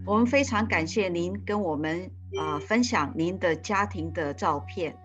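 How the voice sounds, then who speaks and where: A woman's voice: on the low side, moderate pace, dull audio, over music. A woman interpreting live, speaking with fillers and frequent restarts.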